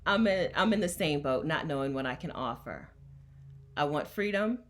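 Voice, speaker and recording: A woman's voice: deep voice, animated, moderate pace. A woman from Delaware, a coach hosting a casual livestream and talking to her chat in an emphatic, chatty way, laughing often. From Delaware, USA. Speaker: coach and host.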